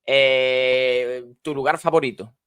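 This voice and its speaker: A man's voice: mid-range voice, steady tone, slow, a bit bright, smooth, no room sound, studio quality. A man hosting a podcast, asking short, friendly interview questions and giving brief prompts. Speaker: podcast host. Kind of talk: quick-fire interview questions.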